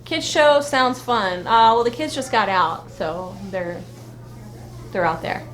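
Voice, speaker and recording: A woman's voice: a little high, expressive, takes it slowly, a little hiss or hum, low-quality recording. A woman co-hosting a fan vlog, chatting casually in a rambling, exclamatory way. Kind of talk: casual live chat recap.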